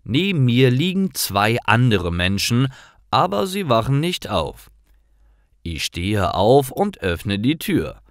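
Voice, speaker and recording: A man narrating scripted stories for language learners in deliberate, clearly separated short sentences. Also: mid-range voice, big pitch swings, no echo, studio quality.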